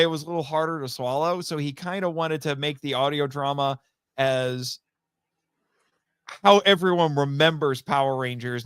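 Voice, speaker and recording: A man's voice: fairly high pitch, fairly bright tone, clear, resonant tone, dry recording, clean studio sound. A man talking casually and enthusiastically as a podcast guest, chatty and often correcting himself as he explains. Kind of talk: casual enthusiastic interview talk.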